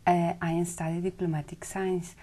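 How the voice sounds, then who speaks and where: A woman's voice: fairly low pitch, little pitch movement, moderate pace, warm tone, slightly rough. A woman student from Ecuador, answering exam questions hesitantly with many fillers.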